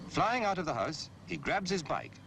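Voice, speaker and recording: A British man giving an instructive, measured narration in the style of a 1960s public information film, with clear phrasing. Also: fairly high pitch, lively intonation. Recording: noisy 1960s film soundtrack.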